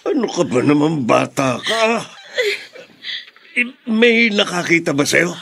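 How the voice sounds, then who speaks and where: A man's voice: on the high side, big pitch swings, takes it slowly, creaky, a bit bright, breathy edge, full character voice. A man with a Filipino accent playing a stern, exasperated middle-aged father in a radio drama, scolding emphatically. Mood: alarmed, scolding.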